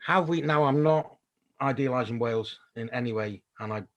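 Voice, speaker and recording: A man moderating a panel discussion over a video call, thoughtful and halting, with frequent ums and restarts. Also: mid-range voice, fairly bright tone, highs cut off.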